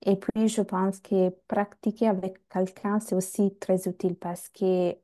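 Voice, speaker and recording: A young woman whose first language is Italian, talking on a video call, speaking slowly and searching for words as she chats with the host. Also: mid-range voice, little pitch movement, warm tone. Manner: slow, searching for words with restarts. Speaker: guest. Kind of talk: casual chat. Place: home room. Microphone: earphone mic on a video call.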